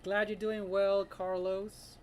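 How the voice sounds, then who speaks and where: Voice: mid-range voice, little pitch movement, slow pace, darkish tone, clean tone, rough recording. Laughing, chatty streamer in their thirties. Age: 30s. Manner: laughs often, greets chat viewers by name. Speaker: live-stream artist host. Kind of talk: casual live-stream chat while drawing.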